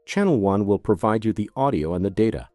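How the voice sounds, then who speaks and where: A man's voice: fairly low pitch, expressive, quick pace, warm tone, no room sound, soft background music. A man narrating a scripted product tutorial as a demonstrator, giving methodical step-by-step instructions.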